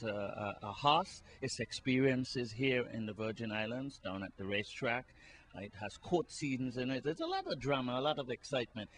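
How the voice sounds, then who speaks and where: A man's voice: medium pitch, fairly bright tone. A man speaking conversationally as a guest in an interview about his creative work. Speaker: interview guest, playwright and community columnist.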